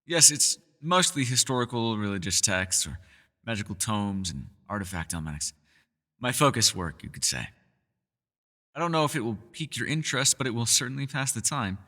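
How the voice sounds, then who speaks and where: A man's voice: mid-range voice, animated, normal speed, pushed and bright, big-room sound. A man voicing a centuries-old elf scholar in an ASMR roleplay, courteous and meticulous, with formal wording and small hesitant stammers. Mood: courteous, measured. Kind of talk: ASMR roleplay voice acting, one-sided dialogue.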